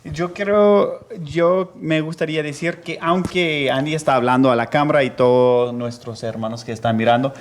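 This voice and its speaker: A man who directs the podcast, calmly explaining to the guests how the conversation will go. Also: fairly high pitch, moderate pace, few pauses.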